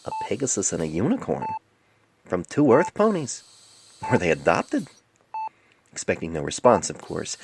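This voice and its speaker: A man reading his own scripted fiction aloud in a measured, literary storybook style, recorded on a cell phone microphone. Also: lowish voice, creaky. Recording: noisy amateur cellphone recording.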